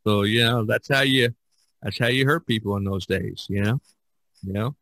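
A man's voice: on the low side, moderate pace, fairly bright tone, dry recording, poor audio. Man podcast host with a rambling delivery. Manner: rambling, repeats and trails off. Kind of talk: casual podcast discussion.

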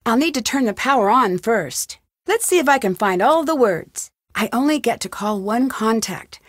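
A woman's voice: a little high, lively intonation, normal speed, a bit bright, no room sound, clean studio sound. An actress playing a curious, upbeat young woman detective in an adventure game, thinking aloud in short scripted remarks. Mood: thoughtful.

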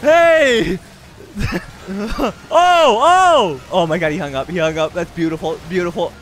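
A young man, chatting excitedly through a role-play game and repeating phrases in frantic bursts. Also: sits high, measured pace. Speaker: gaming video creator playing a role-play game. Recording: music behind the voice.

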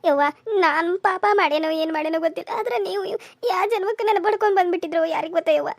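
A despairing young widow played by a woman in a tearful family melodrama. Her voice is very high and quick, with a North Karnataka accent, tender and full of self-blame. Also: dry recording. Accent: North Karnataka Kannada.

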